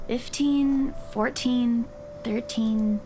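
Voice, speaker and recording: Dazed young woman for a visual novel: high, slow, plaintive, groggy. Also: fairly even intonation, warm tone, slight background noise. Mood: dazed, confused. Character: groggy, confused young woman with an aching head.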